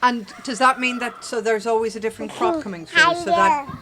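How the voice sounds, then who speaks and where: A woman hosts a casual chat with a lively, up-and-down voice. She asks questions in a chatty, teasing way. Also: fairly high pitch, fairly quick, a crowd in the background. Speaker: co-host.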